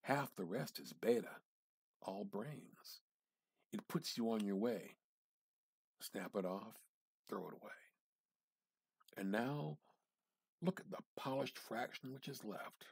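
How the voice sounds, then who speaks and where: A man giving an amateur dramatic reading of literary fiction, theatrical and halting, with pauses and emphatic repetition. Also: fairly low pitch, expressive, vocal fry, slightly rough, no echo. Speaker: amateur reader.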